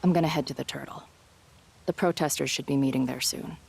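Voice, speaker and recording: A woman's voice: a bass voice, brisk, vocal fry, a little mellow, husky, full character voice. A woman playing a brash, sardonic young punk woman with a tender side in a video game drama, blunt and casual. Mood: determined. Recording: studio voice under background music.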